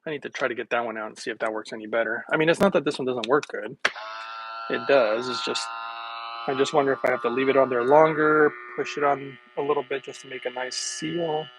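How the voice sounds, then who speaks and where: A middle-aged man chatting casually with livestream viewers while making a smoothie in his home kitchen, rambling from one thought to the next. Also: medium pitch, fairly even intonation, brisk, noisy background. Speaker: wellness vlogger.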